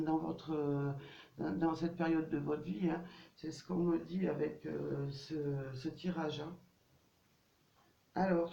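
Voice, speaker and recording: Slow, halting woman with a gravelly voice. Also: lowish voice, mellow. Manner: hesitant, many fillers and restarts. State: has a cold. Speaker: tarot card reader. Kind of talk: casual card reading to viewers. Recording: distant, dull, roomy home recording.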